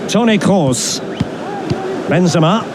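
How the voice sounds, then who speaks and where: A high-pitched football commentator for a video game. He delivers match commentary in an announcing manner, naming players and play. Loud stadium noise sits behind his voice. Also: lively intonation, slow pace. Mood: keen, focused. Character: male TV football commentator calling a big final. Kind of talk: sports commentary. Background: stadium ambience.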